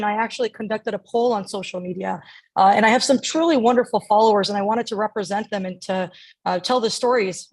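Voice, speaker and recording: A woman from the United States talks quickly and articulately as a guest, her sentences flowing with few breaks. Her pitch sits in the middle range. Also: low-quality recording. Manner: articulate, fluent. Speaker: guest doctor. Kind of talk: interview answer.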